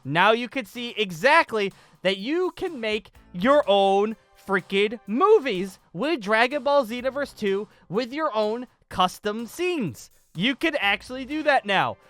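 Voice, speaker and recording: A young man giving a casual, enthusiastic video tutorial, excitable and emphatic, often repeating himself. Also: extremely high pitch, a little slow, hardly any pauses, dry recording, faint music behind. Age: young adult.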